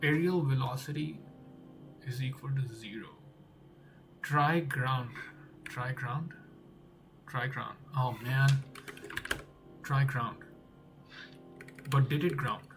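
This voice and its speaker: A man's voice: medium pitch, slow, pauses often, music in the background. A young man who is not a native English speaker, talking to himself while he works through game code, repeating words and trailing off. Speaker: game developer. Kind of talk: think-aloud coding vlog.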